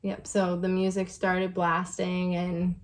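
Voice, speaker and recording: Young woman with a low, even voice. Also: slow pace. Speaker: vlog co-host. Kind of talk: casual storytelling to camera.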